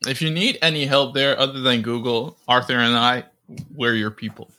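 A man hosts a podcast in a relaxed, chatty way. His voice has a bright, forceful edge, and he sounds enthusiastic. He often repeats a word or two before getting his sentence going. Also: mid-range voice, normal speed. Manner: enthusiastic, repeats words when starting. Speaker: podcast host. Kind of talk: casual chat.

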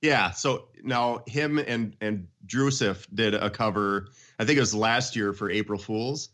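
A man's voice: on the low side, measured pace, a bit bright. A middle-aged man chatting casually about music in an enthusiastic, emphatic way.

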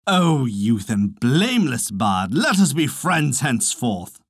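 A hearty king from an old storybook legend voiced by a narrator. He speaks in stately, archaic phrases with amusement and a varied, mid-pitched delivery. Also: very animated, takes it slowly, husky, no room sound, clean studio sound, heavily acted. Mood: gracious.

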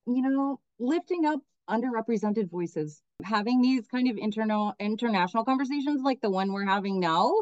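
A woman's voice: a little high, animated, brisk, hardly any pauses, a little mellow, dry recording, muffled recording. A middle-aged woman from Miami, a theater director speaking enthusiastically as a podcast guest, often restarting her sentences. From Miami, United States. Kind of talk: podcast interview.

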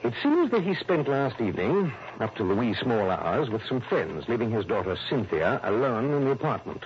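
An actor playing a fussy, anxious insurance office manager in early 1960s radio drama, formal, precise and easily flustered. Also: male voice, medium pitch, very animated, normal speed, lightly acted. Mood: earnest, worried. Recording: muffled, noisy old radio recording.

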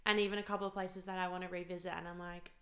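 A young woman co-hosting a podcast, talking in a soft, smooth, relaxed way, speaking close into a handheld mic. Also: fairly low pitch, very fast. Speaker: podcast co-host. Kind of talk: casual chat. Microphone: handheld mic held close to mouth.

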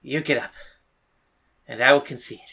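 Woman as young female fighter, cocky, teasing, lowered pitch, forceful tone, audiobook character. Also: steady tone, moderate pace, creaky, full character voice. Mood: defiant.

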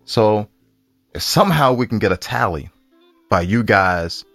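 A man with a low voice co-hosts a podcast in a casual, bantering style. His delivery is lively, rising and falling a lot. The sound is close and dry. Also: measured pace, vocal fry, no echo, faint music behind. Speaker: podcast co-host.

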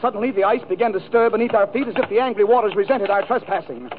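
A man's voice: high-pitched, fast, narrow, tinny audio, slight background noise, natural voice. A man playing a melodramatic, gushing lover, sighing and repeating himself in a 1940s radio comedy monologue. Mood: wistful, theatrical. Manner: overwrought, sighing, repeating phrases for effect. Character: melodramatic, gushing male lover reciting lost romance. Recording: thin vintage radio broadcast with music, audience.

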